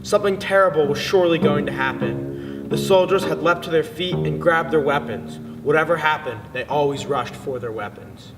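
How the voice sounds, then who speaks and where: A man's voice: on the high side, moderate pace. Far-off man narrating in cavernous reverb. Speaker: dramatic reader. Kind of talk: dramatic literary narration. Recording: distant, long reverb, music behind.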